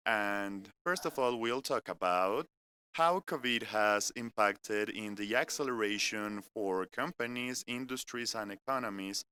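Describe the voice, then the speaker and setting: A man from France with a French accent, speaking in English as he gives a measured, earnest business presentation that moves point by point through an agenda. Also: on the low side, pushed and bright. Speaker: corporate keynote speaker. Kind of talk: live-streamed business presentation. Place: company innovation center studio.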